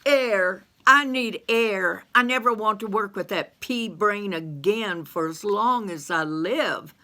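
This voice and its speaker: A woman voicing a squeamish, panicky grown man who whines and yells in a shrill voice. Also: slow pace. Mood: frantic. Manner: shrill, frantic, yelling.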